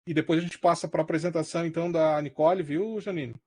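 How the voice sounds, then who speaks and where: A man's voice: a little high, fairly even intonation, fast, dark tone, dry recording. A man with a Brazilian Portuguese accent moderating an academic session, thinking aloud as he puts a question to the panel.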